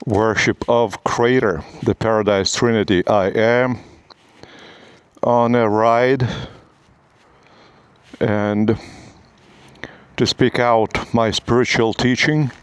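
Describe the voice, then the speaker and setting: A man whose first language is Lithuanian gives a spiritual teaching in slow, halting short phrases while walking outdoors on a city street. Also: medium pitch, steady tone, clear, resonant tone, no echo. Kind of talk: spoken spiritual teaching on a walk. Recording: noisy street recording. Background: traffic and roadworks.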